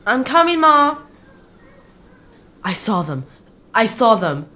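A woman playing an excitable young boy in a radio play, earnest and insistent. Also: fairly high pitch, slow pace, full character voice. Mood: excited, secretive.

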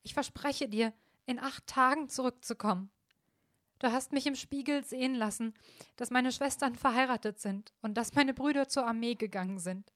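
Kind young beauty, read by a woman, bit high voice, earnest, dry close sound. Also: normal speed, fairly bright tone, no room sound, close to an everyday voice. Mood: pleading. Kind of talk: storybook reading.

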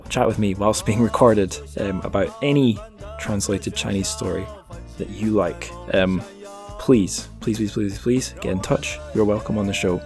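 Low-voiced man, self-deprecating podcast host. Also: darkish tone. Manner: self-deprecating, jokey, rambling. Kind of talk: casual solo podcast outro, chatty plugs for the show.

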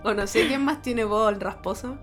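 A Chilean woman hosting a podcast in casual chat, her voice nasal and raspy while she gets over a cough, swearing freely and laughing often. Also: mid-range voice, moderate pace, over music. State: recovering from a cough. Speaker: podcast host.

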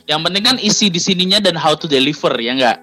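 A young man hosting a podcast in casual chat, talkative and quick to laugh, trailing off into fillers. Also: a little high, lively intonation, bright, forceful tone. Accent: Indonesian. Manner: chatty, laughs often, many fillers. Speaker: podcast co-host.

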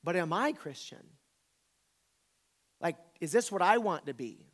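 A man's voice: sits high, animated, a little slow. A man in his forties from the American South, a pastor giving an informal, conversational sermon with emphatic repetition. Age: 40s. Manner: informal, emphatic, repeats phrases for effect.

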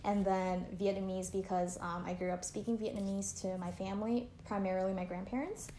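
A young woman from Canada, chatting easily as an interviewee. She talks loosely and leans on fillers and likes. Her voice sits at an ordinary pitch, and the recording has a roomy echo. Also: steady tone, fairly quick, dark tone, a little hiss or hum. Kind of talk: casual interview chat. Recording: echoey room.

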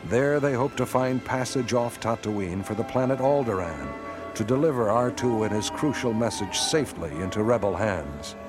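A man narrating an epic space saga for a radio drama in a measured, solemn storytelling style. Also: medium pitch, lively intonation, music in the background, lightly acted. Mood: solemn, grave.

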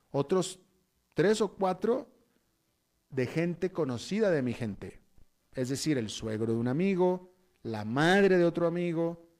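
A man's voice: medium pitch, a little mellow. Man giving news commentary. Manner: conversational and opinionated, with frequent fillers. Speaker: news host. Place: Costa Rica.

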